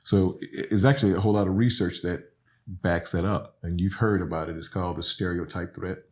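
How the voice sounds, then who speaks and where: A man's voice: sits low, steady tone, a little fast. A middle-aged man from Alabama, a professor talking earnestly as a podcast guest, often tagging "right?" and "you know". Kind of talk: reflective conversational explanation.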